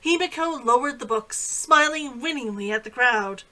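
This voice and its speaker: A woman narrating her own novel in an expressive, storytelling manner, as a scripted read-aloud. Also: sits high, normal speed. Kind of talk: scripted audiobook-style narration.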